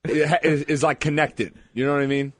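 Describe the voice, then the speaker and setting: Dry-miked, slightly high male radio co-host. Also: fairly even intonation, moderate pace, dry recording. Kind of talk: casual radio banter.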